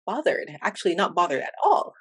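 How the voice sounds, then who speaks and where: Low-voiced Jamaican woman, dry close sound. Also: big pitch swings, brisk, husky, no room sound. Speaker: English teacher and interviewer. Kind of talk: conversational interview.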